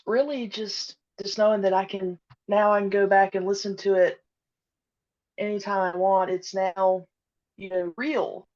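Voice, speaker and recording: A woman being interviewed about her audio drama, speaking hesitantly with many pauses and fillers. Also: mid-range voice, flat delivery, darkish tone. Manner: hesitant, many ums and uhs. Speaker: podcast guest, audio drama creator. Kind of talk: casual interview chat. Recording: dull lo-fi audio.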